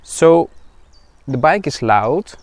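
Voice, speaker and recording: A man speaking as a casual vlogger in a hands-on review, a little halting as he thinks aloud. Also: a little high, big pitch swings, dry recording. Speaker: vlogger reviewing a motorcycle exhaust.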